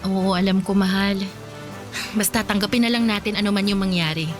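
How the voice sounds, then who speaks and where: An actress playing a loving, playful Filipino wife in a radio drama, affectionate and reassuring. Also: female voice, medium pitch, fairly even intonation, fairly quick, soft background music, close to an everyday voice. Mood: hopeful. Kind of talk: radio drama acting in conversational Tagalog.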